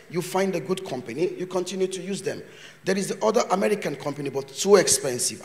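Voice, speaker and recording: A man with a high voice gives a chatty conference talk, mixing Turkish words into loose English and playing out small exchanges as he goes. His voice carries a long reverb from a university hall. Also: a little mellow. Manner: chatty, joking, acts out little scenes. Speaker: invited lecturer.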